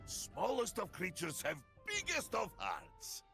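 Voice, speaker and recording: Casting: jovial gentle giant warrior, Slavic-style accent, creaky voice, very animated delivery, video game. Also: male voice, sits high, slow pace, faint music behind, full character voice. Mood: warm, jovial. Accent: Slavic-style foreign. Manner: jovial, gentle, broken-English phrasing. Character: big hearty, kindly strongman warrior hero.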